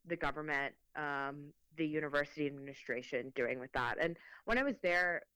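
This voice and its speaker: A woman's voice: low voice, a bit bright, clear, resonant tone, no echo, poor audio. A young woman reporter from Mexico with a Mexican accent, chatting casually in an interview and filling her speech with ums and likes. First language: Spanish.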